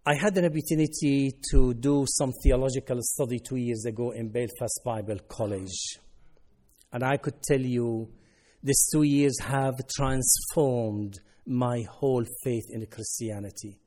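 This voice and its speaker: Man, from Syria, Arabic native speaker, mellow, earnest testimony, halting English. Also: mid-range voice. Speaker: ministry worker. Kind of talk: personal testimony talk.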